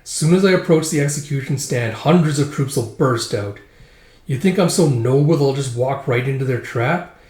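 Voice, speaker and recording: A man's voice: medium pitch, normal speed, darkish tone, natural voice. A surly lone swordsman , played by a man retelling a story, speaks bluntly and coldly. He dismisses others with scorn. The sound is echoey and roomy. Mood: dismissive. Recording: roomy echo.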